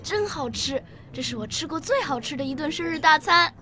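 A woman acting a bright, eager, bookish nine-year-old girl in a radio drama. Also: high-pitched, animated, fairly quick, a crowd in the background. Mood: delighted.

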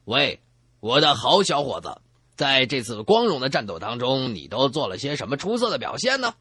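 Stern general for comic radio sketch, male performer; lowered, formal, slightly husky voice. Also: sing-song, normal speed, bright, forceful tone, no room sound, clean studio sound, heavily acted. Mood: commanding. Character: stern old army general questioning a soldier.

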